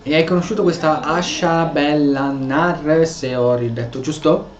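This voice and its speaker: A man's voice: a little high, fairly even intonation, moderate pace, roomy sound, lo-fi sound. A man with an Italian accent giving casual let's play commentary as a streamer, reacting aloud and answering in character. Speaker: gaming streamer, role-playing the hero.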